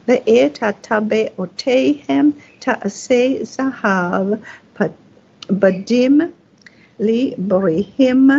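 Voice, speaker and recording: A woman reading scripture aloud as a Torah reader, phrase by phrase in a careful, measured way. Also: fairly high pitch, gravelly, dark tone, slightly rough. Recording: dull, lo-fi sound.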